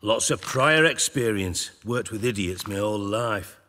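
A man's voice: lowish voice, animated, a little slow, sharp tone. An actor playing an irritable, self-important male crime boss in a video game, sardonic, clipped and foul-mouthed. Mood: scornful. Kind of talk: video game dialogue acting.

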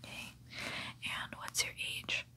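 A woman in her twenties playing a gentle, protective female vampire in a tender, soothing, breathy ASMR roleplay whisper, close to the mic. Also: slow. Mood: careful, protective. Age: 20s. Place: small bedroom, indoors. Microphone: close-up mic, face near camera.

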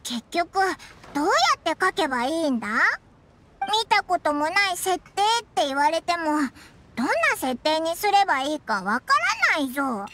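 A tiny floating fairy girl from an anime game. The voice is very high, squeaky and bright, with childlike eagerness and bouncy intonation. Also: expressive, normal speed. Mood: puzzled. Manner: cute, boyish blunt phrasing.

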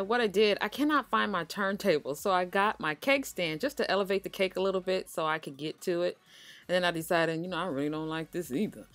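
Cooking-channel host, middle-aged woman, low voice, chatty and reassuring. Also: fairly bright tone. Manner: chatty, reassuring, speaks to viewers as "you guys". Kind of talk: relaxed voice-over baking tutorial.

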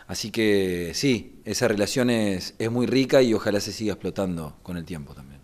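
A man in his forties with an Argentine accent, talking in an interview and pausing to find his words. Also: fairly low pitch, a little mellow. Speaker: radio producer. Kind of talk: explanatory interview talk. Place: small wood-panelled room indoors. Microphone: microphone just in front.

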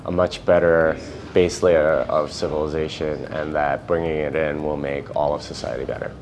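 A man giving a measured, declarative answer in a conference interview. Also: extremely low pitch, flat delivery, a little mellow, slight background noise.

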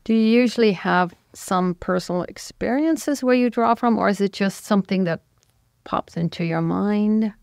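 A woman's voice: mid-range voice, normal speed, gravelly, a little mellow, no room sound. A woman radio host asking guests friendly, rambling questions in a studio.

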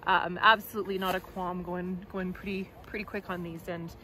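A woman giving a relaxed, chatty ski review outdoors on a snowy mountain. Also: on the low side, normal speed. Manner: chatty, relaxed, says "like" a lot. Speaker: ski tester. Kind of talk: casual gear review.

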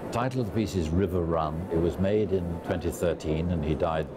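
A man, a gallery owner, talking in a relaxed, matter-of-fact way. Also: deep voice, a little fast, heavy background noise.

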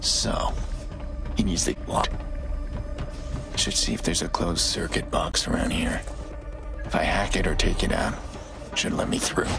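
Very deep, terse mercenary. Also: male voice, fairly even intonation, a little slow, creaky, long pauses, over music. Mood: cynical, focused. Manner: terse, muttering, cynical. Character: gruff, jaded male mercenary fixer on a covert job. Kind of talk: video game voice acting, muttered monologue.

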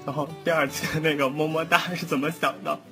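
A man's voice: fairly high pitch, steady tone, fast. A man hosting a casual online cast chat, putting questions to the others. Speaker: host asking questions. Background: guitar music.

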